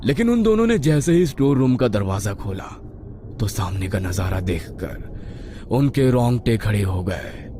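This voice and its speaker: A man's voice: lowish voice, big pitch swings, unhurried, noisy background. A man reading a scripted horror story as a dramatic narrator. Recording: music behind the voice.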